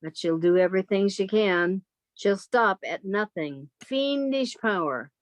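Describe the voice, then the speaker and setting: A woman's voice: medium pitch, expressive, unhurried, no echo, close to an everyday voice. A woman playing an earnest, love-struck and defiant sister in her thirties, in an old-time radio melodrama style. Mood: anxious.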